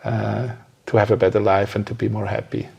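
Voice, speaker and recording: A man's voice: lowish voice, little pitch movement, a little fast, gravelly, a little mellow, slightly rough. A man hosting a casual interview podcast, agreeable, with somewhat hesitant phrasing. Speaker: podcast host.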